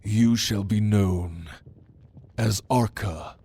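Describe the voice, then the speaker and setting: A man's voice: fairly low pitch, dark tone, husky, full character voice. A man playing a proud, commanding demon lord in an ASMR roleplay, speaking in a theatrical, menacing manner to the listener. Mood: imperious. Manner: slow, imperious, theatrical, menacing.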